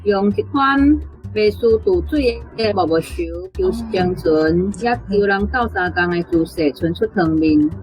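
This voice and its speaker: A woman's voice: medium pitch, unhurried, few pauses, darkish tone, faint music behind, poor audio. A woman with a Taiwanese accent explaining word choices as a language teacher, in an informal, conversational way. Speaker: language teacher giving feedback. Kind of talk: explanatory teaching with casual commentary.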